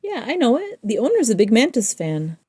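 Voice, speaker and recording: A woman's voice: a little high, wildly swooping pitch, fairly quick, dark tone, slightly rough, no echo, studio quality, heavily acted. A woman playing an easygoing, lovestruck space crew member in an audio comedy, casual and agreeable. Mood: smitten. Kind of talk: audio comedy drama dialogue.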